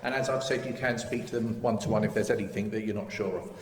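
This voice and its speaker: A man's voice: fairly low pitch, fairly even intonation, fast talker. A man organising a seminar, apologetic and stumbling over his words. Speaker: event organiser. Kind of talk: announcing at a seminar. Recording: echoey hall with audience around.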